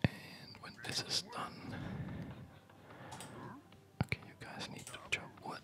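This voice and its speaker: Whispered, slow ASMR gaming commentary. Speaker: gaming video host.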